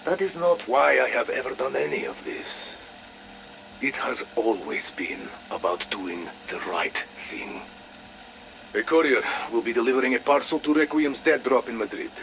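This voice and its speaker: An actor playing a measured, dryly sardonic Soviet operative in a video game audio log, speaking deliberately and with pauses. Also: male voice, mid-range voice, sing-song, creaky, fairly bright tone, slight background noise. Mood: grave, measured. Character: measured, wry male Soviet operative, quietly principled.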